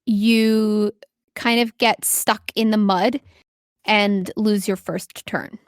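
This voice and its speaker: A woman's voice: mid-range voice, fairly even intonation, slow pace, clear, resonant tone, no echo, clean studio sound. A woman acting as game master, narrating a tabletop role-playing game in a casual, improvised way and encouraging the players. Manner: encouraging, affirming players with short praise.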